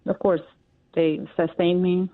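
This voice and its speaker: A woman in her forties from Russia, speaking English with a Russian accent as an interview guest, halting and full of fillers. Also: on the low side, flat delivery. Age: 40s. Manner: halting, many fillers and pauses. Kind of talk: interview answers. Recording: tinny phone line.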